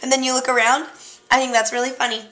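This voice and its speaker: A young woman chatting casually and loudly in a vlog, excitable and joking. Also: high voice, fast. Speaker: vlogger.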